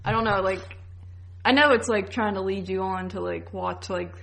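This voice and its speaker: A woman's voice: medium pitch, steady tone, normal speed, creaky, a bit bright. A woman co-hosting a podcast, chatting in a slangy, rambling way peppered with "like". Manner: slangy, rambling, heavy use of "like". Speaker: podcast co-host. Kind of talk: casual chat reviewing a TV series.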